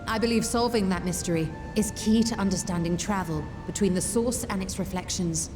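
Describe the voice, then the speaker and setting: An actress plays a learned, adventurous woman from a fantasy game. She explains things with measured, articulate confidence and formal, archaic phrasing. Her mid-pitched voice sits over prominent background music. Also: lightly acted. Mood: confident, wry. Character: scholarly adventurous woman explaining arcane theory, composed and confident. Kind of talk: fantasy video game voice acting.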